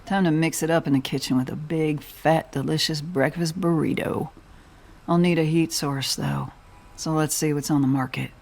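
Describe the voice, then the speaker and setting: Low, dry cowgirl bounty hunter. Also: fairly even intonation, normal speed, gravelly, a little mellow, slight background noise. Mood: relaxed musing. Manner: dry, laid-back, folksy. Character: wry, self-reliant cowgirl bounty hunter, a mercenary. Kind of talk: scripted video game dialogue.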